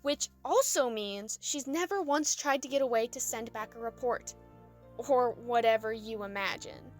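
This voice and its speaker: A woman's voice: on the high side, moderate pace, faint music behind, natural voice. A woman playing a small, cheery, idealistic young female dragon in an audio drama, perky and earnest. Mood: defensive.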